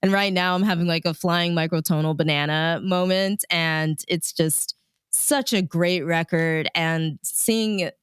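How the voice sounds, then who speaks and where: Chatty woman radio dj being interviewed, crisp smooth voice, a bit low, very dry sound. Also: steady tone, measured pace, no room sound. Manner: chatty, informal, peppered with "like". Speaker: radio DJ and music supervisor, interview guest. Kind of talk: casual conversational interview.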